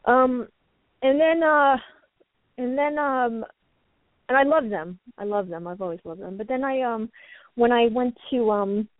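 An American woman, a native Chinese speaker, giving a conversational interview as a call-in guest, rambling haltingly and often repeating words. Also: fairly high pitch, dry recording. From New York, United States. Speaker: guest, actress and martial artist. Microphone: telephone call. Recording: dry, narrow phone line.

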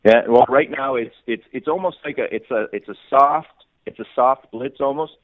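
A man's voice: mid-range voice, moderate pace, dry recording, phone-quality sound. A man giving unscripted sports analysis as an interview guest, often repeating and rephrasing phrases as he reasons aloud. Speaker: sports reporter, podcast guest.

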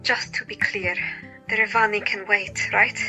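A woman's voice: mid-range voice, level tone, a little slow, sharp tone, muffled recording, soft background music. An actress playing a joyous, caring woman doctor in a period audio drama, cheerful and coaxing. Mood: tender, teasing.

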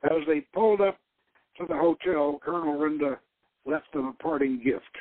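A man's voice: a little high, wildly swooping pitch, normal speed, vocal fry, no echo. Man narrating a story, dull radio sound. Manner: stumbles over words and rereads phrases. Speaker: host reading his own novel aloud. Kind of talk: dramatic audiobook-style narration.

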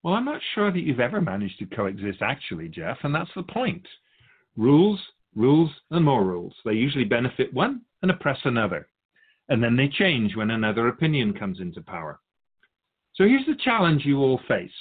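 Man as a wise spirit guide, lively intonation. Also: medium pitch, slightly rough, narrow, tinny audio. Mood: wry, instructive. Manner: measured, didactic, philosophical. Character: wise, patient spirit Keeper of souls, gently chiding. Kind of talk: spiritual teaching in dialogue.

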